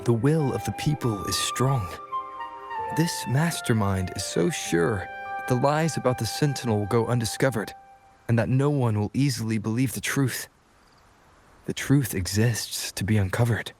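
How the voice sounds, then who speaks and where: An actor playing a calm, determined young hero in a video game, speaking in a firm, composed way. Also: male voice, mid-range voice, normal speed, music in the background, lightly acted. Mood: calm conviction.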